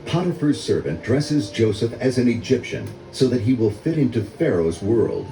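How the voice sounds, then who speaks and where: An older man reading a children's story in a measured, dramatic storytelling manner. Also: mid-range voice, sing-song, warm tone. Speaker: read-along story narrator. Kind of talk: scripted children's story narration. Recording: roomy echo, music under voice. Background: orchestral music.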